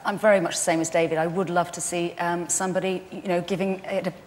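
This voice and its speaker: Low-voiced female judge, hesitant. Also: level tone, quick pace. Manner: hesitant, full of ums. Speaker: competition judge. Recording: reverberant TV studio.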